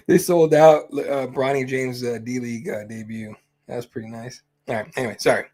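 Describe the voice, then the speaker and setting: A young man hosts a fight-night livestream, rambling loosely between topics. He swears freely, trails off into ums and uhs, and keeps an easygoing, offhand tone at a middling pitch. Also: steady tone, normal speed, darkish tone. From Mexican heritage. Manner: rambling, profane, easygoing, many fillers. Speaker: host of a fight companion stream. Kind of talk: casual livestream chat.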